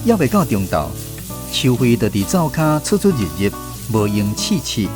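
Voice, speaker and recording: Animated Taiwanese male storyteller over guitar music. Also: mid-range voice, normal speed. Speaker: radio drama narrator and host. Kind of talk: scripted storytelling narration. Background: plucked guitar music.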